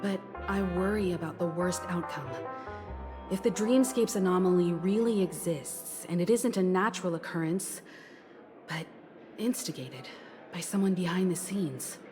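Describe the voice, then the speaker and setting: An actress playing a calm, mature mentor in a sci-fi game, measured, serious and thoughtful. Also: female voice, on the low side, lightly acted. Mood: concerned, thoughtful. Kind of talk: dramatic video game dialogue. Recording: music behind. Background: game music.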